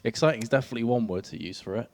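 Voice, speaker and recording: A man's voice: medium pitch, very fast, a little mellow. A young man with an English accent, casting an esports match and joking about himself with his co-caster. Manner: self-mocking, jokey, laughs often. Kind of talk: casual caster banter. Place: event stage in a venue.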